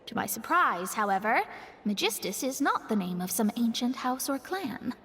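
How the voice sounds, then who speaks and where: A proud, wry young astrologist, composed and a touch haughty as she explains. Her voice sits high and lively, with a long reverb tail, and soft game music behind. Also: normal speed, a little hiss or hum. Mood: explaining. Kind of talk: studio game dialogue.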